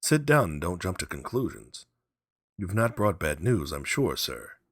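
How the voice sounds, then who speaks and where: A man's voice: low voice, very animated, measured pace, fairly bright tone, no echo, clean studio sound, full character voice. A man playing an earnest, stubborn old husband and father in a storybook reading. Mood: calming.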